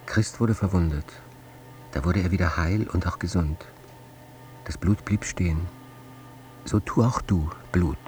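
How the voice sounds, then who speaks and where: An actor playing a solemn magician in a radio drama, reciting an old charm in a slow, incantatory way. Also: male voice, sits low, a little mellow, a little hiss or hum.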